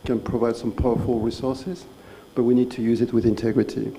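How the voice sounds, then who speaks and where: Measured man with a dark gravelly voice. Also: medium pitch, level tone, slight background noise, low-quality recording. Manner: measured, deliberate, pointed questions. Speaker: conference panelist. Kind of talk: conference discussion. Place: conference hall.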